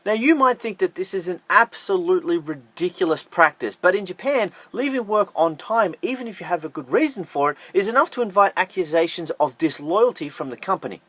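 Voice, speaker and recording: High-voiced man narrating a countdown. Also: lively intonation, brisk, hardly any pauses, a bit bright, no room sound. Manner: upbeat, emphatic, jokey asides. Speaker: YouTube host. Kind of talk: scripted list narration.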